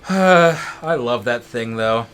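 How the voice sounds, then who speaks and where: A man's voice: medium pitch, animated, slow, a bit bright, clear, resonant tone. A man co-commentating a let's play in casual chat, with a geeky, opinionated and casually profane way of talking. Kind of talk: casual chat over gameplay. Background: game music.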